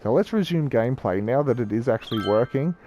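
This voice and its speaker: Croaky man. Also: medium pitch, expressive, fast, warm tone, soft background music. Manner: chatty, rambling, self-correcting. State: croaky from a cold. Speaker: gaming YouTuber. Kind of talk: casual gameplay commentary.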